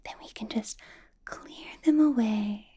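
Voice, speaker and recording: A woman playing a possessive, doting female vampire boss in a whispered ASMR roleplay, coaxing softly with a sweetly sinister edge. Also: on the high side. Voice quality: breathy, whispered. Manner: slow, coaxing, soft giggly delivery.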